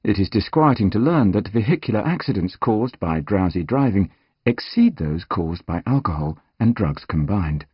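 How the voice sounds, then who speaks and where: A man reading scripted nonfiction as an audiobook narrator, measured and articulate, with dramatic emphasis. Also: lowish voice, animated, husky, no room sound, highs cut off.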